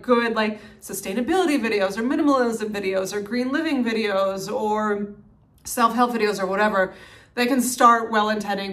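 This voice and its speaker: A young woman talking casually to the camera about her channel, recorded on her phone. She has a loud, projecting voice and moves between calm and lively stretches. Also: mid-range voice. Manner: loud, animated, strong projection. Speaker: minimalist YouTuber. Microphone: phone mic.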